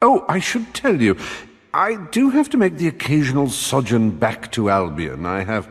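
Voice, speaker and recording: Vain rogue, swooping theatrical voice. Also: male voice, medium pitch, very animated, moderate pace, slightly rough. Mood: sardonic. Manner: pompous, theatrical, sardonic. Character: vain, selfish, decadent gentleman rogue. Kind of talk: comic fantasy game acting. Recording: long reverb, music behind.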